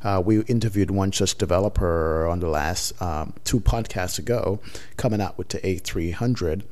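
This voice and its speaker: A man with a very low voice hosts a podcast. He talks in a relaxed, chatty way, dropping in fillers as he introduces the episode. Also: normal speed. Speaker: podcast host. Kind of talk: podcast intro and casual chat.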